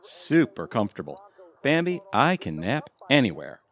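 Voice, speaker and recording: A man's voice: on the low side, sing-song, moderate pace, vocal fry. A man playing an eager, boyishly proud middle-aged husband in a comedic audio play. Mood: eager, pleased.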